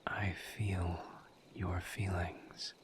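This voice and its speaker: Casting: male actor as gentle misery demon for audio roleplay; low, breathy whisper, extremely slow and soothing. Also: little pitch movement, very noisy. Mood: gentle, consoling. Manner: slow, halting, soothing, with long pauses.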